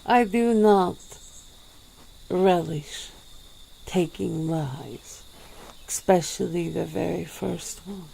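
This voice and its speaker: A woman voicing a centuries-old female vampire, calm and regretful, speaking slowly and formally in an ASMR roleplay. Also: lowish voice, vocal fry, slight background noise, heavily acted, low-quality recording. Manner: slow, measured, formal speech.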